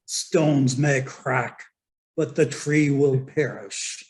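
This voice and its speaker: A man acting a grave, poetic fantasy traveller, with solemn, drawn-out delivery in a script table read. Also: medium pitch, fairly even intonation.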